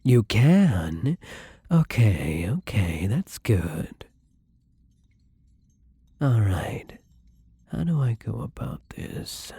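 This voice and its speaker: A very breathy, hushed vampire played by a man, newly turned and unfailingly polite. He talks slowly and haltingly, unsure of himself and quick to apologize. The tone is soft, mellow and gentle, close and dry as in an intimate ASMR roleplay. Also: lowish voice, very animated, dry recording, heavily acted. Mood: nervous, gentle. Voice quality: breathy, soft, often slipping into whisper. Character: kind, hesitant, newly turned male vampire.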